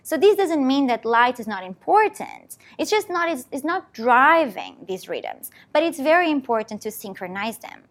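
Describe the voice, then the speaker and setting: Lively woman scientist. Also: sits high, normal speed, few pauses. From Portugal. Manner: explanatory, walking through an experiment step by step. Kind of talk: presentation talk.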